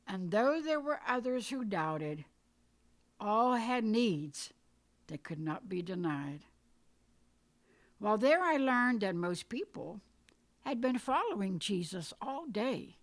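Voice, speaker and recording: A woman reading her own scripted poetic story aloud in a measured, deliberate storytelling manner. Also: mid-range voice. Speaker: poet reading own work. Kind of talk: dramatic poetry reading, scripted narration.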